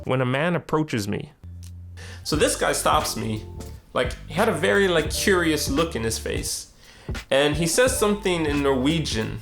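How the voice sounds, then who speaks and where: A man's voice: a little high, creaky. A young man, a street photographer, telling a personal story in a casual, conversational way and acting out both sides of the dialogue. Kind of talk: reflective storytelling narration.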